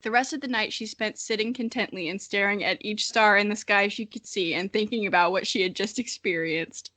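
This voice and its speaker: Bright young woman reading aloud, amused. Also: medium pitch, a little fast, dry recording. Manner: amused, self-mocking. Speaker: podcast host. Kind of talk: dramatic reading of childhood story with casual asides.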